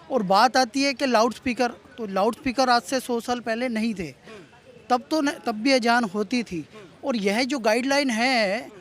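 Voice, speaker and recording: A man's voice: very high-pitched, a bit bright. A man in an outdoor street debate, explaining his case at length and argumentatively, mixing in English words. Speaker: street interviewee.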